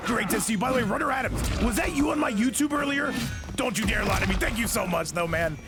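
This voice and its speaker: A man hosting and commentating a fighting-game tournament stream, giving hyped, rapid shout-outs to chat. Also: high voice, lively intonation, over music.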